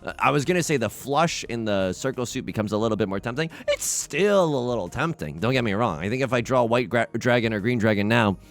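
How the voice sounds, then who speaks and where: Animated man bantering over a tile game. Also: mid-range voice, quick pace, hardly any pauses. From United States. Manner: playful, self-mocking banter; rambling, thinks aloud with restarts. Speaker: let's play host. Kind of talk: casual gameplay commentary.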